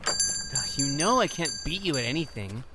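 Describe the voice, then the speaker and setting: An actor playing an excitable, easily riled younger brother and comics fan in an audio drama, eager and indignant. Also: male voice, a little high, brisk, very noisy.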